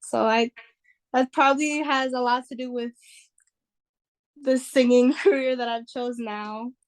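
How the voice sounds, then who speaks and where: Relaxed young woman with a higher voice. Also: unhurried. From New York. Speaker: guest singer. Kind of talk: casual interview chat.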